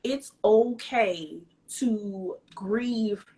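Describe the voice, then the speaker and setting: A woman's voice: mid-range voice, extremely slow, warm tone. A woman, a speech pathologist guest, explaining things to parents in a reassuring way. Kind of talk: casual conversation.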